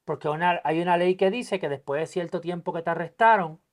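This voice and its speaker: A man's voice: high voice, fairly quick. A man hosting a podcast, talking casually about politics with a guest, speaking emphatically and acting out quoted dialogue in his stories. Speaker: podcast host.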